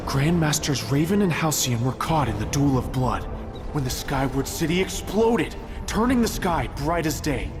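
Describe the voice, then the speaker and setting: A man playing an earnest, thoughtful sect disciple who recounts old tales in a measured, dramatic way for a video game. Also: mid-range voice. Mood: grave. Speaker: non-player character giving backstory. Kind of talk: expressive storytelling in video game dialogue.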